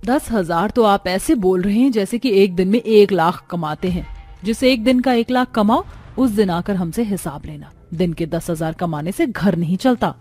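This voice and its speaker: An earnest, expressive household woman from a cartoon family tale, played by a woman. Her pitch is medium and music plays behind her. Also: breathy edge, natural voice. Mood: defensive. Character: women of cartoon households, earnest mothers, in-laws and neighbours. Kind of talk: Hindi cartoon story acting.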